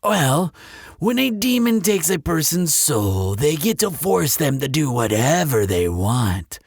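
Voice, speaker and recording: Demon front-desk clerk for an audio roleplay, male actor: lilting, creaky delivery, courteous salesman with a sly, coaxing streak. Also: a little high, very animated, dry recording, studio quality, heavily acted. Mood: coaxing, reassuring. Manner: unhurried, polite, coaxing salesmanship. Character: smooth-talking male demon hotel receptionist, courteous and slyly persuasive. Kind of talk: scripted audio roleplay addressed to the listener.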